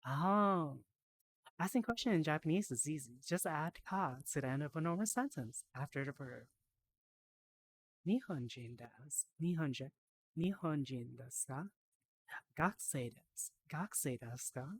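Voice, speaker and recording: A woman live-streaming in a VR headset, chatting casually and reading out phrases. She sounds tired and a little hoarse, like she is losing her voice. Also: sits low, lively intonation. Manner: chatty, reads aloud slowly, laughs easily. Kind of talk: casual live-stream chat while learning Japanese. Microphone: VR headset mic.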